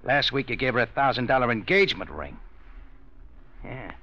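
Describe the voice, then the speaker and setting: Gravelly, sly radio gangster. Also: male voice, medium pitch, very animated, normal speed, pushed and bright, heavily acted. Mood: sly, menacing. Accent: American. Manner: slangy, sly, menacing. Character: ruthless, smug male gangster making a shakedown. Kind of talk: 1940s radio crime acting. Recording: muffled vintage radio recording.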